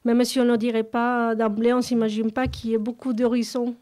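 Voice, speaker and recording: Higher-voiced woman in spontaneous interview talk. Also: flat delivery, a little fast, dark tone. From a very large city. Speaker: interviewed member of a women's association.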